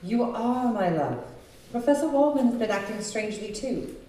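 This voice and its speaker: A woman's voice: a little high, lively intonation, moderate pace, mellow, natural voice. A woman playing a devoted, worried young bride in earnest, theatrical old-time radio melodrama. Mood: tender concern. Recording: roomy live hall, distant mic.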